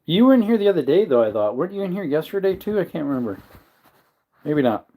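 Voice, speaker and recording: A man's voice: a little high, big pitch swings, fast talker, warm tone. A man hosting a casual livestream, rambling and joking while greeting viewers in the chat. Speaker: livestream host.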